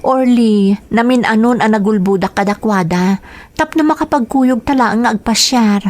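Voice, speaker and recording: A woman's voice: fairly high pitch, normal speed, a little mellow, natural voice. An actress with an Ilocano accent playing a dutiful young working woman in a radio drama, earnest and pleading.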